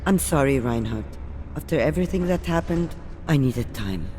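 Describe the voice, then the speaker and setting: A woman's voice: very deep voice, lively intonation, moderate pace, darkish tone, faint music behind. An actress playing a wry, aging veteran sniper woman in a video game, with a dry, sardonic manner. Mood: regretful. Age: older.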